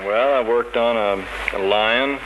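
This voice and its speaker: A man's voice: medium pitch, measured pace, muffled recording. A man answering interview questions briefly as a quiz contestant, plain-spoken and matter-of-fact. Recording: very noisy vintage radio recording.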